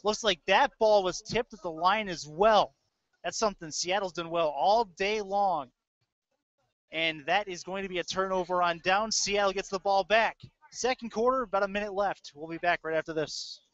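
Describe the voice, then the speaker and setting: A man's voice: sits high, normal speed, fairly bright tone, dry recording. A man calling live American football play-by-play in a conversational way, as a sports announcer. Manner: conversational between plays. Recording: dull lo-fi audio stream.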